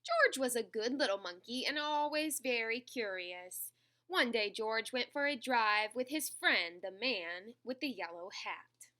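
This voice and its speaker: Bright-voiced woman reading a children's storybook. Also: a little high, animated, measured pace. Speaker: storybook reader. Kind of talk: expressive children's read-aloud.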